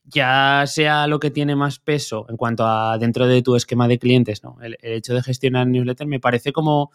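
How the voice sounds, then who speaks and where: A man's voice: medium pitch, fairly even intonation, normal speed, smooth, dry recording, studio quality. A man co-hosting a casual podcast interview, friendly and loose, with lots of fillers and restarts. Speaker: podcast co-host.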